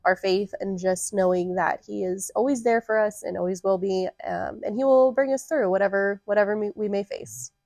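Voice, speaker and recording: A woman's voice: medium pitch, moderate pace, few pauses, darkish tone, dry recording, studio quality. A woman around thirty co-hosting a podcast, chatting casually and upbeat with frequent laughs and fillers. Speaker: podcast co-host. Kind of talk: casual conversational podcast chat.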